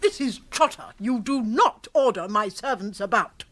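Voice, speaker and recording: Actress as irascible upper-class English hostess, middle-aged, high, very animated, blunt, blustering, radio drama. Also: female voice, moderate pace, background noise, natural voice. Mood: exasperated. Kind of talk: comic radio drama acting.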